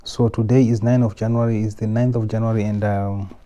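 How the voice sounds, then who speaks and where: A man from Zimbabwe with a Zimbabwean accent, speaking slowly and reflectively in a personal vlog, often repeating himself. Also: fairly low pitch, level tone, mellow. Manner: slow, measured, repeats himself. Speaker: YouTube vlogger.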